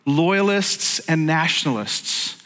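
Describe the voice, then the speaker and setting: A middle-aged pastor from Northern Ireland gives a sermon, chatty and joking, with plenty of asides. His voice sits fairly high and has a bright, forceful edge. A long reverb trails behind him, as in a large church hall. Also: animated, slow. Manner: jokey, conversational, self-interrupting.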